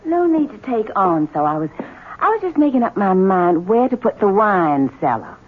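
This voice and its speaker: An actress playing a scheming wife who is haughty and mocking, in old radio-drama style. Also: female voice, medium pitch, very animated, moderate pace, breathy edge. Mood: imperious. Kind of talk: 1970s radio drama acting. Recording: tinny old radio broadcast.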